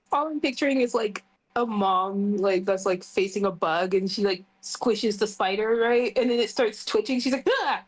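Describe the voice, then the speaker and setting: A woman's voice: medium pitch, unhurried. A woman chatting casually as she plays a tabletop roleplay game.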